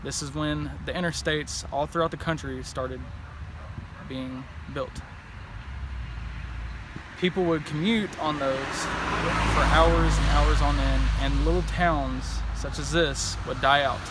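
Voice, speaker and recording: A man's voice: a little high, fairly even intonation, slow pace. A young man giving a scripted on-the-scene news report outdoors as a field reporter. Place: outdoors by a road and houses. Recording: noisy outdoor location audio.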